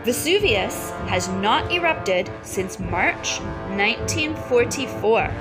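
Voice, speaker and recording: A woman reading a children's picture book aloud like a storyteller, with measured, deliberate phrasing and pauses. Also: fairly high pitch, expressive, rough recording. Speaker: read-aloud teacher and storyteller. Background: music behind the voice.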